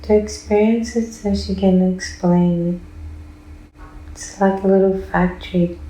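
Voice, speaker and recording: A woman answering questions in a hypnosis session, trance-like and detached, in short, deliberate declarative sentences. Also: fairly low pitch, warm tone. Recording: echoey room.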